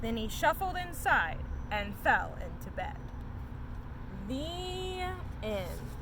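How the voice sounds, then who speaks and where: A woman hosts story time, reading a rhyming picture book in a sing-song way. Her voice is high and swoops up and down with plenty of expression. The recording has distinct background noise. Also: very animated, slow pace. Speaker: story time host and reader. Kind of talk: animated read-aloud of a children's picture book.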